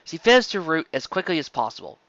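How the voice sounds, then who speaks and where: Fan fiction narrator, animated, bright tone, occasional stumbles, very dry sound, slightly muffled lo-fi recording. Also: medium pitch, fast talker, dry recording. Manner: animated, stumbles over words. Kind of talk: expressive scripted story narration.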